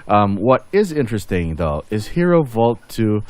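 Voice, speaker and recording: A man's voice: lowish voice, big pitch swings, normal speed, dry recording. A man giving casual game update commentary as a channel host, rambling and thinking aloud as he goes.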